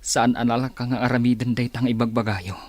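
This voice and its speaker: A man's voice: medium pitch, steady tone, brisk, a little mellow, a little hiss or hum, natural voice. A man playing a troubled, defensive married son with an Ilocano accent in a radio drama. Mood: weary, defensive. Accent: Filipino, Ilocano. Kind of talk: Philippine radio drama acting.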